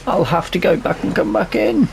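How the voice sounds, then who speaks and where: A man's voice: sits high, moderate pace, vocal fry. A man with a Northern English accent giving casual let's play commentary, muttering and grumbling to himself as he plays. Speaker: gaming video host. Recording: loud vehicle engine noise behind. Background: farming game vehicle and ambience sounds.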